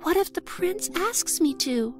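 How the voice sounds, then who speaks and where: A woman's voice: very high voice, lively intonation, unhurried, over music. A woman playing a sweet, innocent, timid young fairy-tale heroine with a girlish, earnest manner. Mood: wondering, timid.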